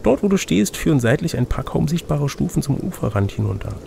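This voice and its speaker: Male gamebook narrator, medium pitch, creaky, faint noise behind the voice. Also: big pitch swings, brisk, warm tone. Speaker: reader and game master. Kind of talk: reading a gamebook aloud with casual asides.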